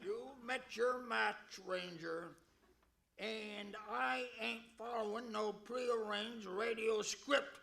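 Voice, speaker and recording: An actor playing a belligerent, blustering western outlaw with a folksy American drawl in a comic radio western. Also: male voice, sits high, steady tone, slow pace, creaky, bright, forceful tone, heavily acted. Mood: defiant, aggrieved. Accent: American, Old West drawl. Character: belligerent western outlaw gunman, bad guy's kid brother. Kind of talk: comic old-time radio western acting.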